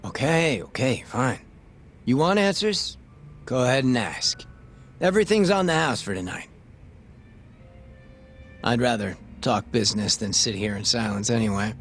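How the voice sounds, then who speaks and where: A man playing a sly crooked cop who sells information in a video game. He is glib and casual, in a mid-range male voice. Music runs quietly behind him. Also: medium pitch, animated, normal speed, pauses often, close to an everyday voice. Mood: offhand. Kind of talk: video game cutscene acting.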